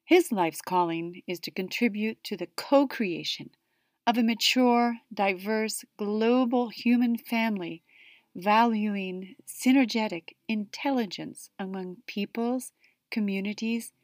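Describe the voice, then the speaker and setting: A woman hosting an interview. She reads an introduction at a deliberate pace, then asks questions. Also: mid-range voice, no echo, clean studio sound. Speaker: interviewer and host.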